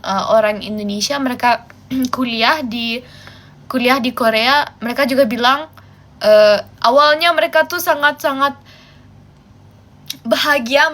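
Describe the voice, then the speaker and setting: A woman's voice: fairly high pitch, fairly bright tone. A woman from Germany, chatting casually and talkatively to viewers as a livestream host. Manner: chatty, switches between languages. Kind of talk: casual chat with viewers while doing makeup.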